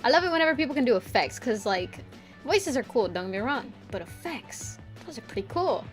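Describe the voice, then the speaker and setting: A woman's voice: a little high, very animated, fairly quick, faint music behind. Giggly, rambling young woman streamer. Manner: rambling, lots of "like" fillers; giggly, playful voice play. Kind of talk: casual chat while drawing on stream.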